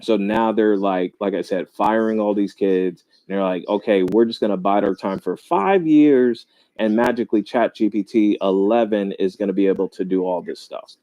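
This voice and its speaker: A man's voice: on the low side, normal speed, mellow, no echo, low-quality recording. A man on a debate panel arguing a skeptical point, searching for words as he goes. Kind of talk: casual debate.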